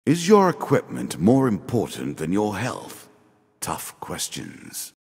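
An ageless, condescending dealer from a fantasy video game, with an extremely low voice. His delivery swings expressively through ominous, theatrical phrases, and a long reverb trails every word. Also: gravelly, darkish tone, husky, exaggerated voice. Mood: stern, disdainful. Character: ancient, immortal, sardonic dealer of a deadly card game. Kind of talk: scripted fantasy game character lines.

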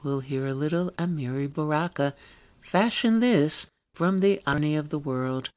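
A woman's voice: deep voice, a little mellow. A woman hosting a radio show, reading a scripted introduction to what is coming up.

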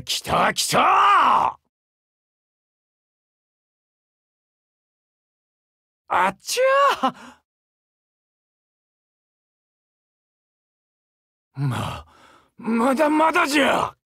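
An actor playing a brash, warm-hearted young seaman in a Japanese mobile game, with a southern Japanese dialect and a husky, gravelly, boisterous delivery. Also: extremely high pitch, dry recording, clean studio sound, exaggerated voice. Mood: excited, boisterous. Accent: southern Japanese dialect, Kagoshima-like. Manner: boisterous, rustic, swinging from bashful murmurs to hearty shouts. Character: brash, warm-hearted young muscular seaman, eager big-brother type.

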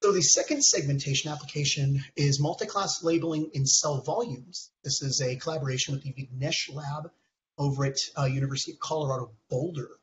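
Hoarse man giving a technical talk. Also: fairly high pitch, a little hiss or hum. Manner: halting, many ums and restarts. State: hoarse from a cold. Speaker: presenter. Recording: dull lo-fi call audio.

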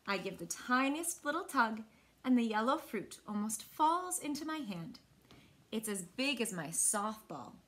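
A woman in her thirties with an American accent, reading a picture book aloud to children with expressive, clearly phrased delivery. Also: on the high side, a little slow. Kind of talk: children's read-aloud storytelling. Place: small indoor room.

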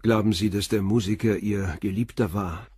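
An actor playing a calm, persistent male police inspector who questions tersely and methodically in a radio crime drama. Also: on the low side, normal speed, slightly rough, no echo, clean studio sound. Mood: measured, inquisitive.

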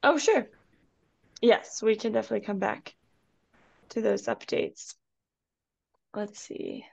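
A woman's voice: medium pitch, big pitch swings, takes it slowly, creaky, a little mellow, no echo. A woman hosting an informal meeting over a video call, speaking in a tentative, hesitant manner. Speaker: meeting host. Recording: muffled video call audio.

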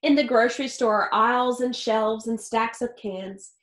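High-voiced woman reading aloud in an echoey room. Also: normal speed, fairly bright tone. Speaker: teacher reading a picture book aloud. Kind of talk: expressive children's read-aloud. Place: small home office or study with wooden shelves. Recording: roomy, echoey indoor sound.

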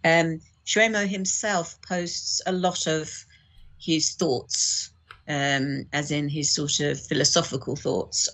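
A woman's voice: low-pitched, a bit bright. A woman chatting as a podcast guest, explaining things informally and a little hesitantly. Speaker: podcast guest, audiobook producer. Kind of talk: casual interview chat.

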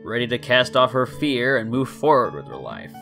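A man in his twenties narrates a story in a scripted, dramatic reading. His voice sits at an average male pitch, with instrumental guitar music playing behind it. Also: very animated, moderate pace, sharp tone. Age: 20s. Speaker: story narrator and channel host.

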